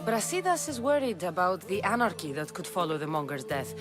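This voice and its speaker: A woman's voice: on the low side, very animated, fairly quick, music in the background. An actress playing a tough, cool-headed young mercenary warrior woman in a video game, speaking in a blunt, terse, dry way. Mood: firm, businesslike. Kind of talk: dramatic video game acting.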